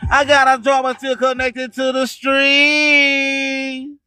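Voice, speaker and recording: A man's voice: extremely high pitch, completely flat delivery, slow, pushed and bright, no room sound, clean studio sound, faint music behind. A man hosting a radio show, delivering an emphatic, preachy motivational monologue full of streetwise slang and repeated rhyming slogans. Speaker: radio host.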